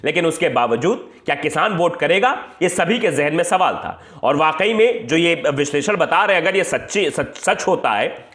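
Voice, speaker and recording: Guy doing political news commentary, high pitched voice, really animated and emphatic, kinda chatty wording, very echoey. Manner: emphatic, colloquial, rhetorical questions. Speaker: news presenter. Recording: echoey room.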